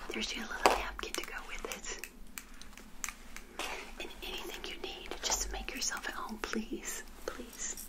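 An older woman whispering a gentle, hospitable ASMR roleplay as a friendly witch, softly repeating her phrases. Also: medium pitch, low-quality recording.

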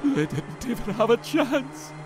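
A man's voice: very high voice, big pitch swings, unhurried, over music, exaggerated voice. A man playing a kind-hearted, anxious seaman in an audio drama. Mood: mournful.

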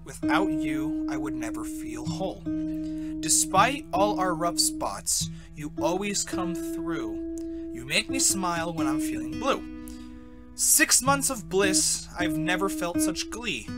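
Guy doing an eager sappy monster boyfriend for a cartoon, voice all over the place, loud music under it. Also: high-pitched, animated, takes it slowly. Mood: tender. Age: young adult. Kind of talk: animated cartoon acting.

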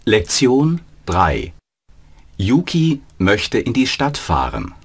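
A man plays an adult student who also announces each lesson in a language-course recording. His voice is very low and slightly breathy, and his delivery is slow and measured with lively intonation. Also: heavily acted. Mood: plain. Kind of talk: slow scripted learner dialogue.

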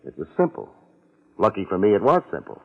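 A man's voice: deep voice, huge pitch swings, brisk, heavily acted. A man playing a sardonic, wisecracking private detective in a 1950s radio drama, speaking in terse, clipped sentences. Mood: probing, sardonic. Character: hard-boiled wisecracking male private detective. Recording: muffled vintage 1950s radio recording.

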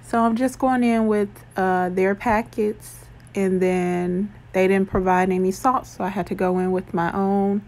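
A woman's voice: medium pitch, steady tone, unhurried, few pauses, a little mellow. A young adult woman talking informally and chattily, as in a casual cooking vlog. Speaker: vlogger.

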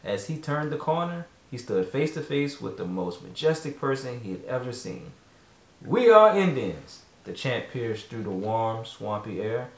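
A man's voice: medium pitch, normal speed, few pauses. Echoey man reading a storybook aloud. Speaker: father reading to his children. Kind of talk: storybook read-aloud narration. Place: room at home with children. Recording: echoey room, distant mic.

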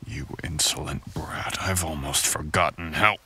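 A man's voice: very deep voice, steady tone, measured pace, pushed and bright, breathy edge, heavily acted. A young man playing a dominant, menacing samurai ronin in a roleplay audio, speaking coldly and mockingly, sometimes in a whisper. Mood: contemptuous. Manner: cold, threatening, mocking, partly whispered. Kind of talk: boyfriend ASMR roleplay.

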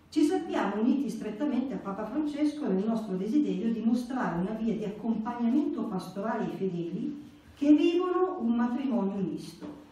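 A middle-aged woman speaks as a lecturer at a religious conference. Her voice is soft-edged and mellow, her delivery conversational yet emphatic. The room rings heavily around her and she sounds somewhat away from the microphone. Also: medium pitch. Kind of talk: explanatory religious lecture, partly read from notes. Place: indoor church meeting room. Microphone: stand microphone. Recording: very echoey room, distant, faint hiss.

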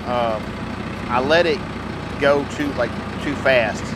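A man's voice: medium pitch, slow pace, very noisy. A middle-aged man vlogging casually while working a sawmill outdoors, rambling and self-deprecating with frequent restarts. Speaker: homestead vlogger.

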